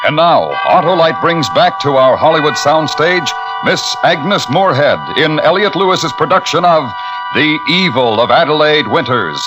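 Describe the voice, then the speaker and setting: Formal 1940s radio announcer. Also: male voice, a little high, lively intonation, over music. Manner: measured, declamatory. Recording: dull 1940s radio broadcast.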